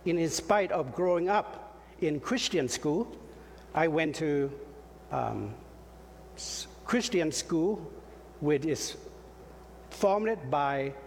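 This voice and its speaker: Slow, halting middle-aged man. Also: a little high. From Laos. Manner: halting, with frequent fillers and light self-deprecating jokes. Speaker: pastor. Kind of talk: sermon. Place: church sanctuary. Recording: reverberant hall, faint ambient noise.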